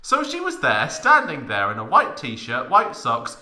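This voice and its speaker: A man's voice: medium pitch, huge pitch swings, a little slow, sharp tone, echoey room. A young man giving a theatrical, mock-dramatic reading of a story as a comic narrator, with exaggerated emphasis. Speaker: podcast narrator.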